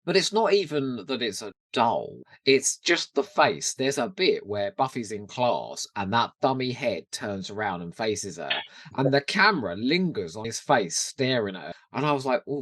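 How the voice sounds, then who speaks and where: A man's voice: medium pitch, sing-song, moderate pace, fairly bright tone, no echo. A man chatting casually and animatedly as a podcast co-host about a TV show, swearing now and then.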